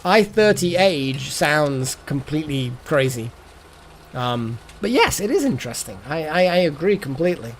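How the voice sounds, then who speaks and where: A man's voice: fairly high pitch, big pitch swings, moderate pace, slight background noise. A man in his thirties chatting casually on a live game stream, rambling and repeating words as he muses aloud.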